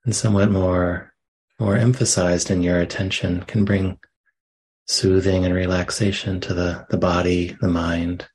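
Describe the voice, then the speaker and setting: A man leading a guided meditation as a teacher, giving soft, gentle instructions with long pauses. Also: low-pitched, breathy edge, rough recording.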